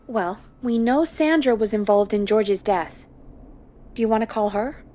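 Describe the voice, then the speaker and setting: An actress playing a level-headed, cautious radio show producer in a video game, composed and practical. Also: female voice, a little high, measured pace, warm tone, lightly acted.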